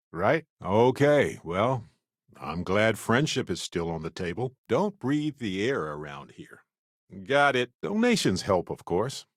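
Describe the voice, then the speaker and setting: Expressive, low-voiced male space explorer. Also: dry recording, studio quality. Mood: wry, casual, then earnest, reflective. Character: wry, adventurous, warm-hearted male spacefaring explorer companion. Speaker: video game voice actor. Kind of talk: acted video game companion dialogue.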